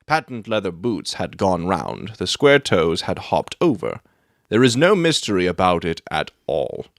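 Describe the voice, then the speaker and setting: A man acting a low-voiced, self-assured brilliant detective. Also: no room sound, clean studio sound. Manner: lecturing, matter-of-fact, self-satisfied. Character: brilliant, self-assured adult male detective, precise and proud. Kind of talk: dramatic audiobook reading.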